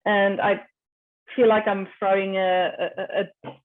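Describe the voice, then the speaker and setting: A woman's voice: mid-range voice, level tone, a little slow. A woman speaks as a panelist over a video call, and the audio sounds tinny and phone-like. She thinks aloud and often stops to restart her sentences. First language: Afrikaans. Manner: thinking aloud, hesitant with repeated starts.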